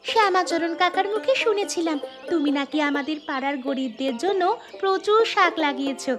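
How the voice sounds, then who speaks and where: A woman voicing a chatty, admiring village neighbour in a moral-story cartoon, with an eager, flattering manner. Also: extremely high pitch, fairly quick. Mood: eager, friendly. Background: background music.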